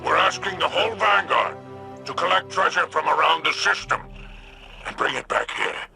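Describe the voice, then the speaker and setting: Sly, gravelly alien crime boss. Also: male voice, fairly high pitch, moderate pace, sharp tone, soft background music, exaggerated voice. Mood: sly, coaxing. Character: greedy, wheedling alien crime boss with business savvy. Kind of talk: video game cutscene acting.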